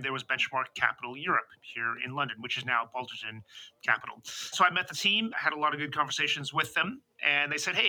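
Bright, animated American man. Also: fairly high pitch, poor audio. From United States. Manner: enthusiastic, expansive, animated. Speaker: venture capital investor, podcast guest. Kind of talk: conversational interview.

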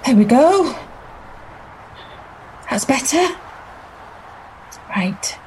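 Woman playing a slow, steady female detective. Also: sits high, slight background noise, heavily acted. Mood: calm, coaxing. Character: calm, steady, persuasive female detective inspector. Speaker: actor playing a detective inspector. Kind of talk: audio drama acting.

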